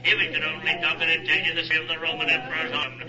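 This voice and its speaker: Auctioneer: high-pitched, piercing, grandiose huckster patter, 1940s radio play, dulled old recording. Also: male voice, monotone, pushed and bright, dull audio, soft background music. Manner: grandiose, rapid repeated bidding chant. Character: brisk showman auctioneer hawking antiques.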